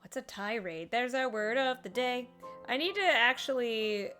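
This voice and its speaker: A woman's voice: on the high side, lively intonation, measured pace, pushed and bright, soft background music. A woman streaming a game, giving casual live commentary with playful, wry asides.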